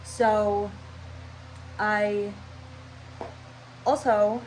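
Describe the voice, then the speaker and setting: A woman's voice: medium pitch, level tone, drawn-out words, a little hiss or hum, rough recording. A young woman with a stuffy, nasal voice, rambling casually through her channel intro at home. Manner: scatterbrained, rambling, chatty. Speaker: vlogger.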